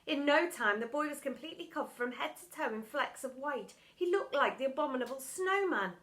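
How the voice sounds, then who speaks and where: Bright, dramatic woman reading a storybook. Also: high voice, expressive, a little fast. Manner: dramatic, theatrical. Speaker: primary school storytime reader. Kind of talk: expressive children's storybook narration.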